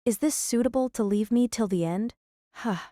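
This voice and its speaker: A woman's voice: a little high, moderate pace, warm tone, no echo, studio quality, pitched above the performer's own voice, close to an everyday voice. A woman voicing an earnest young female soldier, a teammate in an anime-style audio drama. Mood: uneasy.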